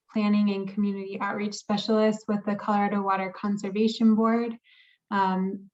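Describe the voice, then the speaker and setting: A woman introducing herself informally in an online meeting as an agency outreach specialist, halting with frequent ums. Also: medium pitch. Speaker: water agency outreach specialist, task force member. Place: remote video call. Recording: dull, muffled call audio.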